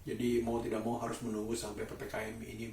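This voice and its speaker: A man from Indonesia, chatting casually to camera as a solo vlogger in a halting, deliberate way with drawn-out pauses. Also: on the low side, monotone, a little mellow, a little hiss or hum. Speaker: daily vlogger and host. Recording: roomy echo, distant microphone.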